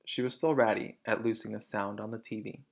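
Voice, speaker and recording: A man's voice: fairly low pitch, steady tone, a little fast, creaky. A man narrating a children's storybook as a read-aloud. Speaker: storybook reader.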